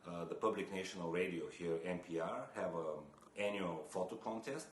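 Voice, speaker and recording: A man's voice: low-pitched, moderate pace. A young man from Bulgaria, talking casually to camera about photography, hesitant with many fillers and restarts. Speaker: photography vlogger. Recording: echoey room with faint noise.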